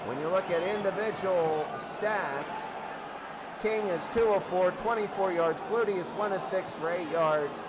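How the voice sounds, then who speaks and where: A man calling football play-by-play, getting excitable when the action picks up. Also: sits high, measured pace. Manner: excitable on big plays. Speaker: play-by-play sports commentator. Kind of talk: American football game commentary. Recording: clear background noise.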